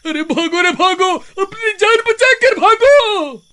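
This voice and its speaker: A man's voice: extremely high pitch, lively intonation, slow pace, sharp tone, exaggerated voice. A man playing a gullible, cowardly village sidekick in a cartoon horror story, exclaiming and pleading. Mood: panicked.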